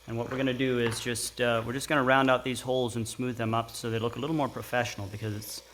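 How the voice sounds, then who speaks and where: A middle-aged man giving a casual, unhurried hands-on tutorial in an informal, explanatory way. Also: mid-range voice, flat delivery, a bit bright. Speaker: craft tutorial host. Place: indoor workshop at a workbench. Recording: clear background noise with clicks.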